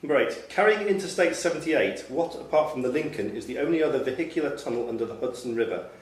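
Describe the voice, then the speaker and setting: A man's voice: mid-range voice, expressive, fast. Distant, echoey man reading out quiz questions. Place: club room at a pub-style quiz event. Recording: echoey room, distant mic, faint noise.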